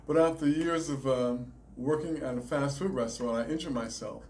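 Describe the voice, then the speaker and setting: A man with a Southern US accent explains his work in a calm, measured way, pausing and restarting now and then. His voice has a mid-range pitch, and a faint hum of room noise runs under it. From Alabama, raised in South Carolina. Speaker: chiropractor, guest. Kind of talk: interview answers, explaining.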